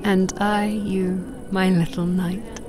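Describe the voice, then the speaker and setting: An actress playing a wise, serene elven mother in a fantasy audio drama, with gentle, formal, measured phrasing. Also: medium pitch, flat delivery, background noise, close to an everyday voice. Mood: tender, encouraging.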